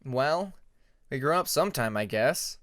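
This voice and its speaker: A man's voice: medium pitch, expressive, measured pace, a bit bright. A man voicing a friendly, earnest young male dragon student in an audiobook. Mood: mild, casual.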